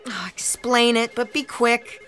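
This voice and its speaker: A woman playing an imperious, impatient editor of destiny, brisk and officious, in comic sci-fi audio theatre. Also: on the high side, a bit bright, a little hiss or hum.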